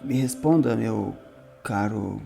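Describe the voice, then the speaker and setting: A defiant male priest, played by a man at a game table, speaks very slowly in a dark, mellow, gravelly voice. He is dry and sarcastic, choosing each word in this audio drama. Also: lowish voice, soft background music, exaggerated voice. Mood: defiant, measured. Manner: deliberate, halting, sarcastic.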